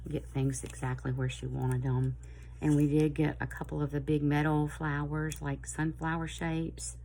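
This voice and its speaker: A middle-aged woman with a Southern US accent, chatting casually and a little hoarse, in a rambling, friendly way. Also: deep voice, dark tone, a little hiss or hum, low-quality recording. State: slightly hoarse. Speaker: planner vlogger. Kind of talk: casual chat to camera.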